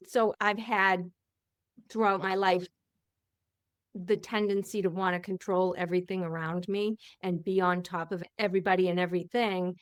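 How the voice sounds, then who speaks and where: A middle-aged woman with a loud voice that carries talks slowly and candidly as a podcast host. Her tone is smooth and clear, and the room sounds dry and close. She reflects openly as she speaks. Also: medium pitch, dry recording. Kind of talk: casual interview chat.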